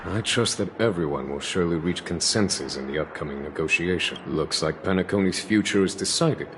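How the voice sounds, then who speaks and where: An actor voicing a poised, gracious man who presides over negotiations and speaks in a smooth, courteous way. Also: low-pitched, brisk, noisy background, natural voice. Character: poised, gracious male host of talks. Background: game music.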